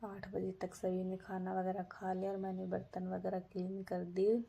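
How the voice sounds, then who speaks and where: A woman with a sore throat narrates her home routine in a husky, breathy half-whisper. Her voice has a gravelly edge and a mellow, dark tone. She barely moves her pitch and keeps it calm and everyday. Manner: flat, unhurried, homely narration. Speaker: vlogger. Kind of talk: daily routine voice-over.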